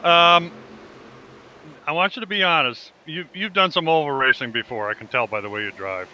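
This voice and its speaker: A man's voice: on the high side, normal speed, sharp tone, a little hiss or hum. An American man co-commentating on sim racing, chatting in a folksy, complimentary way over online voice chat. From United States. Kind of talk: casual chat.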